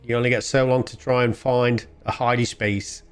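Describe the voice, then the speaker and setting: A man's voice: medium pitch, flat delivery, normal speed, smooth. A man hosting a gaming video, chatting casually and thinking aloud as he plays. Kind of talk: casual game play commentary. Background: game music.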